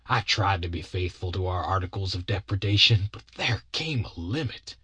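A man's voice: deep voice, expressive, moderate pace, pushed and bright, husky, dry recording, exaggerated voice. A man voicing a harried, aggrieved rustic crook with pompous yet folksy speech in an audiobook. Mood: weary, aggrieved. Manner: aggrieved, mock-grandiose wording, folksy grammar. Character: harried, put-upon grown man, small-time rustic crook. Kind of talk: audiobook character voice.

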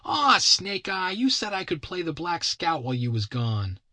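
A man's voice: a little high, huge pitch swings, moderate pace, pushed and bright, no echo, pitched above the performer's own voice, exaggerated voice. A man voicing a bossy, whiny little boy playing at being a frontier scout in an audiobook. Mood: whiny, pleading. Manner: whiny, demanding, folksy grammar. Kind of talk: audiobook character voice.

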